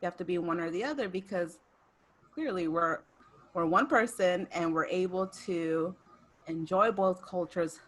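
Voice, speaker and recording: A woman's voice: fairly low pitch, unhurried. A young woman co-hosting a podcast, chatting casually on a video call from her room with laughs and fillers. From United States. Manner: relaxed, laughs often, many fillers. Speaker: podcast co-host. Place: bedroom at home, indoors. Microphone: video call webcam mic.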